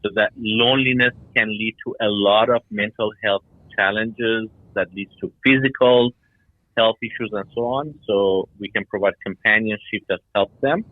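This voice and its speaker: Middle-aged male founder as interview guest, lower-pitched voice, narrow telephone-grade sound, explaining his work. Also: normal speed, dry recording. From Iran and Denmark. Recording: tinny phone-like line.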